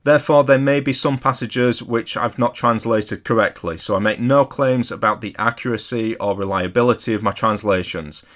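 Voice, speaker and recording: A man narrating a scripted lecture as an amateur hobbyist video host, in a measured, careful and modest explanatory manner. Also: medium pitch, fairly bright tone. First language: not Polish; English speaker.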